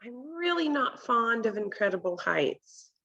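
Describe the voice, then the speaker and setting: Slow, higher-pitched woman reading to children. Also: big pitch swings, bright, forceful tone, lo-fi sound. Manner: deliberate, instructional, asks the listener questions. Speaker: read-aloud presenter for children. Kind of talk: children's picture book read-aloud with commentary. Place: home kitchen, indoors.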